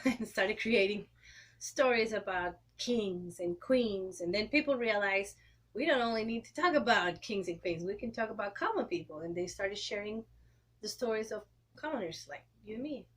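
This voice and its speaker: A woman in her thirties from Costa Rica, speaking English with a Costa Rican Spanish accent, explaining things in an interview and clearing her throat often. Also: medium pitch, animated, moderate pace. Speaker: guest, opera singer and educator. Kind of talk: interview answers.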